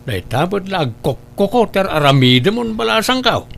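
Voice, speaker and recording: A man's voice: high voice, very animated, normal speed, creaky, fairly bright tone, a little hiss or hum, full character voice. A man with a Filipino accent playing a stern, nagging middle-aged father and uncle in a comedy drama, scolding and questioning. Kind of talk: amateur comedy drama acting.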